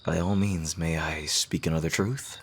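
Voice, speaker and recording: A man playing a devoted fantasy rival confessing love in a romantic audio roleplay, speaking in an earnest, courtly, poetic way with soft hesitant pauses and a husky, breathy voice. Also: very deep voice, fairly even intonation, a little hiss or hum. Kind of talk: romantic ASMR audio roleplay, intimate acting.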